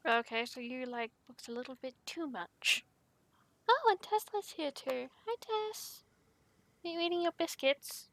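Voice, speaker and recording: Girl streamer chatting over a game, highish voice, blunt jokey comments. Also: measured pace, a bit bright. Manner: blunt, playful wisecracking. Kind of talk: casual live gameplay commentary.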